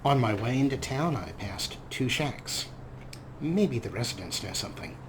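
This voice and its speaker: A man's voice: mid-range voice, very animated, normal speed, noisy background. A man hosting a game let's play, commenting in a sarcastic, self-mocking way with casual swearing. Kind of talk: gameplay commentary.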